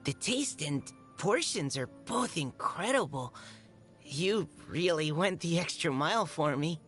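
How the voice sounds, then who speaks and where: Video game scout, young female: timid, polite, earnest, gentle delivery over background music. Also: low-pitched, animated, measured pace. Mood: warm, grateful. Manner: hesitant, soft-spoken, polite, earnest. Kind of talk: video game character voice lines.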